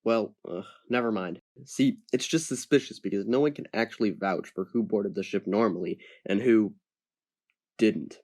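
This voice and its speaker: A man's voice: fairly low pitch, normal speed, darkish tone, lightly acted. A man playing a stern ship captain. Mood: explaining. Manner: firm, interrogating. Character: stern male ship captain, commanding and wary. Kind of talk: amateur audio drama.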